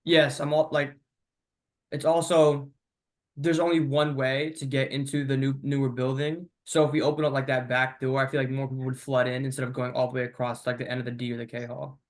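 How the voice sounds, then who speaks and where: Brief: teen boy student, flat delivery, halting and rambling. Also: mid-range voice. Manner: hesitant, rambling, full of "like". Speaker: student asking a question. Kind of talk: casual questioning on a video call.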